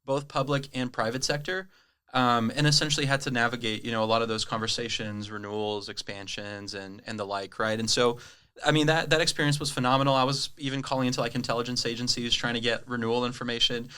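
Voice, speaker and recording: A man from Brazil whose first language is Portuguese, a sales rep telling his career story in a casual interview, chatty and quick to laugh. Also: mid-range voice, fairly even intonation, pushed and bright, clear, resonant tone. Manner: chatty, lots of "right?" tags and laughs. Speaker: guest, cloud sales rep.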